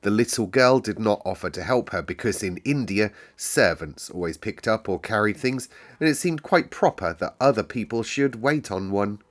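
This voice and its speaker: A man's voice: on the low side, animated, moderate pace. A British man narrating a storybook aloud as a storyteller. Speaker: storyteller, host.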